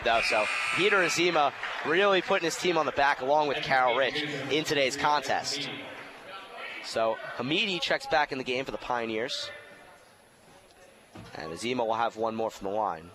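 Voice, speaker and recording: A man giving live basketball play-by-play as the announcer, rising to a shout on big plays. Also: on the high side. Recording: noisy gym with court sounds. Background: basketball bounces and gym noise.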